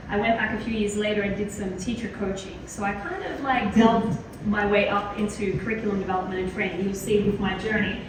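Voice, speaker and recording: A woman's voice: mid-range voice, far from the mic, noisy background. A woman with an Australian accent giving a chatty, enthusiastic keynote to a small audience, with plenty of fillers. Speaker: keynote speaker. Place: lecture hall. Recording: very echoey hall, heard from afar.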